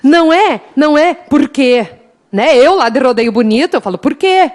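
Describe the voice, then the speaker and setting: High-voiced woman teacher with southern Brazilian accent. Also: animated, moderate pace. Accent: interior Rio Grande do Sul Brazilian Portuguese. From interior of Rio Grande do Sul, Brazil. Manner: chatty, teasing, addresses students warmly as "gente". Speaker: law exam prep teacher. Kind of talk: lively online class, singing mnemonic samba.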